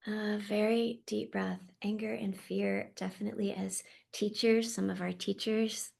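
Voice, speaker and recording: A woman leading a guided meditation in a soft, slow, often half-whispered voice. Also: medium pitch, flat delivery, gravelly. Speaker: meditation leader.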